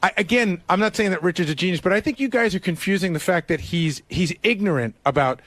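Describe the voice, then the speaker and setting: A man's voice: sits high, quick pace, fairly bright tone. An American man on a radio show, speaking in casual, argumentative banter. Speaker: radio show staff member.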